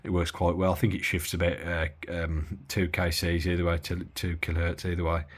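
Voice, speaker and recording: A man giving a casual, rambling bench-test walkthrough of an old radio, often pausing and correcting himself. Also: sits low, monotone. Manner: rambling, self-correcting, lots of filler. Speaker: radio hobbyist reviewing gear.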